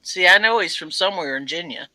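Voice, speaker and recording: A woman's voice: fairly low pitch, fast talker. A woman on a live talk stream, chatting casually and telling a funny family story with laughs. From family from Arkansas. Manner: laughing, lively anecdote telling.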